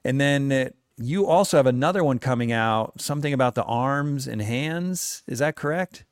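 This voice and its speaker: A man in his forties hosting a podcast, mid-pitched and eager. He gushes with enthusiasm and laughs often. His voice sounds close and dry, with no room around it. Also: lively intonation, smooth, no echo. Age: 40s. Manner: effusive, enthusiastic, quick to laugh. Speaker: podcast host. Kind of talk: podcast interview.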